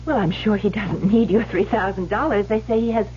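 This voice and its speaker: An actress playing an anxious, affectionate wife who questions emphatically in an old-time radio drama. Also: female voice, medium pitch, brisk, mellow, natural voice. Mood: reassuring, uneasy. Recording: dull, noisy old radio recording.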